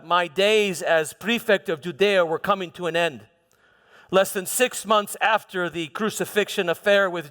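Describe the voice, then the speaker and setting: A man playing an aging, haunted Roman governor, delivering a reflective, dignified dramatic monologue in measured, halting phrases. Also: sits high, a bit bright. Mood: rueful. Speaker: performer in a church dramatization. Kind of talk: dramatic first-person monologue. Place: large church hall. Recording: long hall reverb.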